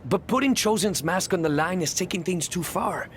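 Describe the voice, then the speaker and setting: An actor as a conscientious, worried wrestler. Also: male voice, high-pitched, moderate pace, slight background noise, natural voice. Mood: concerned. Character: conscientious, worried male wrestler, apologetic ally. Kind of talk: scripted video game cutscene acting.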